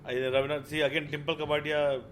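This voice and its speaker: A man's voice: fairly high pitch, level tone, fast, over a noisy crowd. A man with an Indian accent hosting a casual group chat about films and games. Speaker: podcast host. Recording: music under the voice. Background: music.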